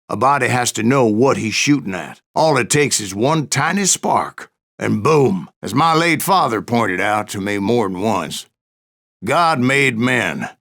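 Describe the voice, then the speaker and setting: A male actor playing a grizzled old Wild West bounty hunter in a video game, with a gravelly American voice and a folksy, wry way of telling his tales. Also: lowish voice, a bit bright. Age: older. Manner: folksy, wry storytelling drawl. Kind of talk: dramatic first-person game narration.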